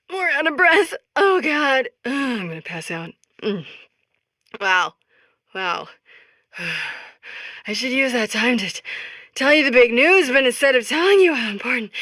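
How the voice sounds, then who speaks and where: Badly winded, halting, high-voiced messenger. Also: female voice, pushed and bright, no room sound, clean studio sound, heavily acted. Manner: halting, flustered, gasped fragments. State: badly out of breath, wheezing, coughing. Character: exhausted, unfit female messenger gasping to tell big news. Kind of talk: comedic video game character monologue.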